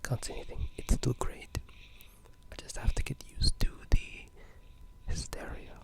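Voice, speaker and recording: A person speaking in a breathy, unvoiced whisper, casually discussing games in an ASMR style with a hushed, halting delivery. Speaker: ASMR gaming video creator.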